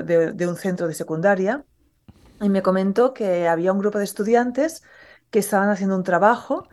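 A woman from Catalonia, a geology professor, answering interview questions in a measured, modest, explanatory way. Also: medium pitch, darkish tone. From Catalonia, Spain.

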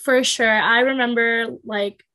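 Chatty young woman, slightly high voice. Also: fairly even intonation, a little slow, bright, forceful tone. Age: 20s. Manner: chatty, frequent "like" fillers, explains step by step. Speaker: guest. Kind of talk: casual chat.

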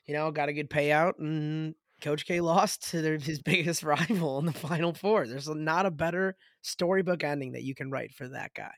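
Raspy american dude talking sports, kinda high voice, jokes and cusses, dry sound. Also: male voice, moderate pace, few pauses, no room sound. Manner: profane, jokey storytelling. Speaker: sports podcast co-host. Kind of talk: casual sports chat.

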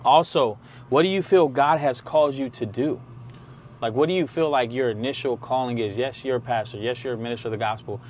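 A man's voice: mid-range voice, fairly quick, darkish tone, slight background noise. A man interviewing a guest, chiming in often with encouraging affirmations and asking open questions. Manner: encouraging, frequent "come on" and "yes" affirmations. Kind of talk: casual conversational interview.

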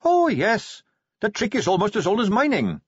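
An earnest, polite young captain of the watch, bright-voiced and eager to explain, as a man puts him on. His voice rises and falls freely, and the read sounds slightly dull. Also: high-pitched, huge pitch swings, moderate pace, breathy edge, dry recording, voice pushed up, full character voice.

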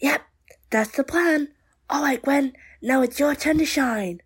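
A woman playing a confident, decisive gadget-wielding adventurer in an audio drama, with a husky voice and cool manner. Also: sits high, a little slow. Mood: cool, eager.

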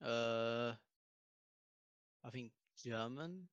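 A man's voice: mid-range voice, drawn-out words, clean tone, no room sound, highs cut off. A man chatting offhand as a co-host, hedging what he says. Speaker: co-host on a stream. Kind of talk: casual chat.

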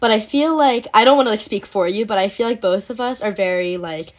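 A woman's voice: medium pitch, a little fast. A teenage girl hosting a casual podcast chat, talking in a chatty way with many fillers and frequent laughs. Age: teens. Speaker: podcast host.

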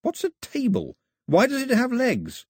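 A man performs a curious, literal-minded island boy from a storybook. The voice is lifted high with very mobile intonation, puzzled and sceptical, on a dry, close recording. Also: wildly swooping pitch, normal speed, slightly rough, no echo, full character voice. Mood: incredulous curiosity. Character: inquisitive, sceptical island boy full of questions. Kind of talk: storybook character dialogue.

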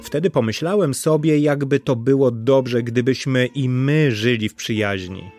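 A man's voice: mid-range voice, measured pace, darkish tone, faint music behind, lightly acted. A man voicing an old rook from a storybook, pleading earnestly and formally. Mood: earnest persuasion. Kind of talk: storybook voice acting.

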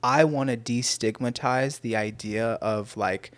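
A man's voice: medium pitch, fairly even intonation, unhurried. An American man hosting a casual podcast chat, earnest and rambling, with plenty of slang and free swearing. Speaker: podcast host.

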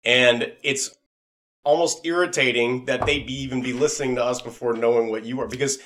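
A man's voice: mid-range voice, fairly even intonation, fairly quick, a bit bright. A man hosting a podcast in a casual, rambling and enthusiastic chatty style. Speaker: podcast host. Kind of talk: casual chat.

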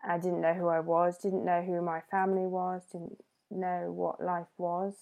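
A woman's voice: lowish voice, monotone, unhurried, vocal fry, a little mellow. A woman in her thirties, a podcast guest, telling her story in a chatty way with restarts and self-corrections. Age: 30s. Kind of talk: casual interview conversation.

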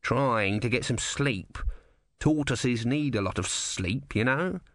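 A man voicing a grumpy, smug male tortoise god in an audiobook, dry and unhurried. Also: medium pitch, lively intonation, fairly bright tone, no room sound.

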